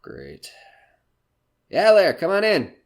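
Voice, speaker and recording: A man's voice: sits high, huge pitch swings, slow, noisy background, exaggerated voice. Man acting a jaded comic with wide-swinging delivery. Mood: weary, polite. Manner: grumbling, brash, curt. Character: jaded, foul-mouthed nightclub comedian, bitter and weary. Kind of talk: audio drama acting.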